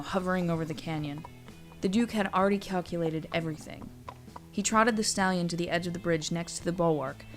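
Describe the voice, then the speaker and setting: A woman storyteller reading scripted fantasy narration in an audiobook manner. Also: fairly low pitch.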